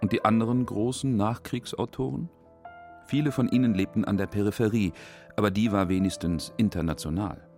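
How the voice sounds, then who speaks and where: A man's voice: lowish voice, fairly quick, a little mellow, soft background music. A man reading scripted narration for a radio documentary feature.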